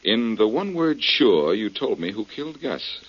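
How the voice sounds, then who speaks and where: An actor playing a shrewd, confident, wisecracking male detective in a brisk 1940s radio style. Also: fairly low pitch, sing-song. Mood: shrewd, pressing. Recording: muffled, worn old radio broadcast.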